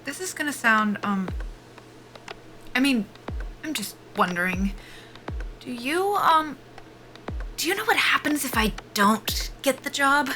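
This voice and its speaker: An actress playing an anxious, self-deprecating young woman soldier in a sci-fi audio drama, halting and wry in a naturalistic style. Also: high voice, animated, bright, forceful tone, a little hiss or hum, full character voice. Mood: nervous.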